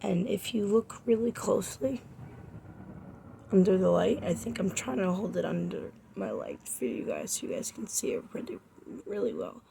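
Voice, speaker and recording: A woman in her twenties, a makeup artist hoarse from a cold, chatting casually and rambling to her viewers through a makeup tutorial. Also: medium pitch, very animated, moderate pace, warm tone, slight background noise, poor audio. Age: 20s. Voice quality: raspy. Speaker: makeup artist and beauty vlogger. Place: home, under a makeup light.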